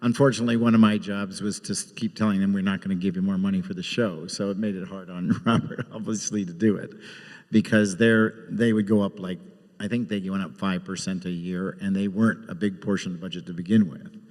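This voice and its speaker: Male producer, panel guest, casual talk, lower pitch, echoey hall. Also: fairly even intonation, fairly quick, a little mellow. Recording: echoey hall with long reverb.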